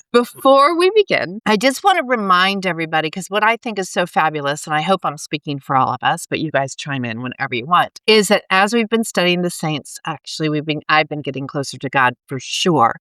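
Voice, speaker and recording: A woman's voice: low-pitched, lively intonation, fairly quick, a bit bright, no room sound, poor audio. A woman co-hosting a faith podcast, chatty and explaining, a bit stuffy from allergies, often tagging questions on her points. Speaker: podcast co-host. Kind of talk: casual faith chat.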